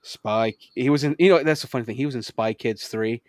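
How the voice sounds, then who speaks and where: A man in the middle of a loose podcast chat, excitable and quick to break into laughter. He swears freely and his pitch sits mid-range. The sound is dry and close, with no room echo. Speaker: podcast co-host. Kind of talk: casual chat.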